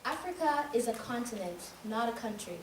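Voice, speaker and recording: A woman's voice: on the high side, expressive, moderate pace. A young woman from Tanzania giving an emphatic, rhythmic spoken-word monologue on a school stage. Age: young adult. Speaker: student speaker. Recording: echoey hall recorded from far off, noisy.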